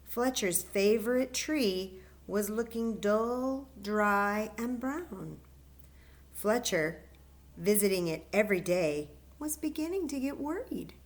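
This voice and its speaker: A woman reading a children's story aloud as a library story time host, expressive and chatting to young listeners with coaxing questions. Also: mid-range voice, slow pace.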